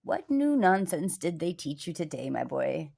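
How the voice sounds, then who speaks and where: An earnest storybook grandfather played by a woman explains great secrets to his grandson. He is jovial at heart but deliberate and serious in how he lays things out. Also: medium pitch, expressive, creaky, a little mellow. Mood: teasing, explaining. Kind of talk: children's storybook reading.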